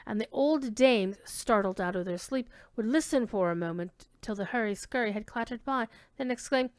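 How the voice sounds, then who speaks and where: A woman giving an expressive dramatic reading of classic literary narration, with emphasis on key words. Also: a little high, moderate pace, clean tone, no room sound, clean studio sound.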